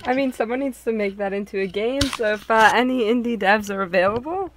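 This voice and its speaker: A woman's voice: on the high side, a little fast. Woman narrating casually, faint background noise. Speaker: show participant, narrator. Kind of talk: casual chat and visual novel narration.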